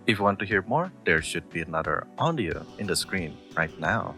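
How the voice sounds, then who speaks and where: A man's voice: deep voice, very animated, a little fast, bright, forceful tone, soft background music. A man who makes audio roleplays, giving a friendly sign-off to his channel's listeners. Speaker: audio roleplay creator and voice actor.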